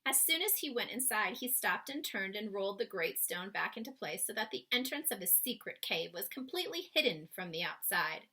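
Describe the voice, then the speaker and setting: A woman reading a children's story aloud expressively, with dramatic pauses, speaking to young listeners. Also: medium pitch, very animated, sharp tone, slightly rough.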